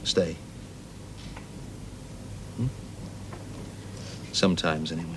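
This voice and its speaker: An actor playing a self-assured, paternal research boss, coaxing and persuasive in 1970s television drama style. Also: male voice, low-pitched, level tone, slow pace. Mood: teasing, persuasive. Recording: faintly hissy old television soundtrack.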